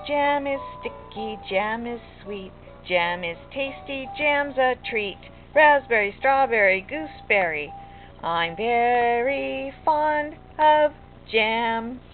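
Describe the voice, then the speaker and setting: A picky, curious little girl from a children's storybook, put on by an older woman, high and swooping. She is earnest and sing-song, drawing out her words. The sound is muffled and lo-fi. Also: exaggerated voice. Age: older, grandmother. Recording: muffled lo-fi home recording, music behind.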